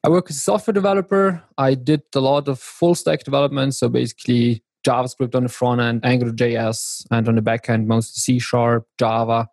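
A man from northern Italy, a software developer explaining things as a podcast guest. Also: medium pitch, level tone, fairly quick, creaky, hardly any pauses, dry recording. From South Tyrol, northern Italy. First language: German. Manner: explanatory, with restarts. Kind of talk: casual technical chat.

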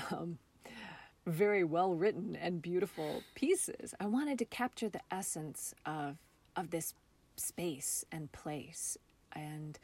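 An American woman with a slightly low voice talks as an interview guest. Her style is casual and reflective. She phrases things thoughtfully, often halting as she finds her words. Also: darkish tone. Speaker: interview guest, theater artist.

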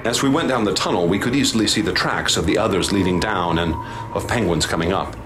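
A man narrating and acting as expedition leader in a radio drama, grave and measured. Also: low voice, background noise.

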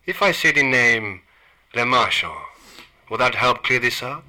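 Sinister dealer, male, cold and clipped, bright edgy tone, hushed menace, played by a man. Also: medium pitch, animated, measured pace. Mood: cold, menacing. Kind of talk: radio play acting.

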